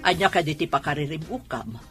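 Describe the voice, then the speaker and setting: A woman's voice: low voice, animated, a little fast, a bit bright, soft background music. A woman with an Ilocano Filipino accent playing an older mother in an audio drama, questioning and admonishing her grown son. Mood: scolding, questioning. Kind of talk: Ilocano radio-style drama acting.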